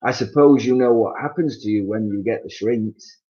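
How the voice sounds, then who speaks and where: A man's voice: lowish voice, moderate pace, dark tone, dull audio, pitched above the performer's own voice, lightly acted. A man voicing a nasty old man who taunts and jeers at his wife in a storybook reading. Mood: mock-solemn. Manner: taunting, jeering. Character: cruel gloating old man, nasty prankster.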